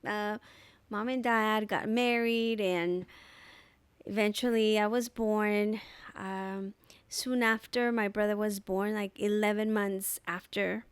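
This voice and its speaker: A woman in her thirties from El Salvador talks slowly and thoughtfully as a podcast guest, pausing often with fillers. Her voice is smooth and clear at a middle pitch. The sound is close and dry, from a boom mic in a living room. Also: steady tone, no room sound. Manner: unhurried, thoughtful, with frequent fillers. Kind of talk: casual interview storytelling. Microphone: desk mic on boom arm.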